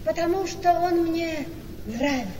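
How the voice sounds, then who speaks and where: An actress playing a naive, devoted young wife in a radio play, speaking with earnest, easily wounded theatricality. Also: female voice, very high voice, unhurried, a crowd in the background. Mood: innocent, puzzled. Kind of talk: Russian classic radio play acting. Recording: echoey hall, distant mic, faint noise.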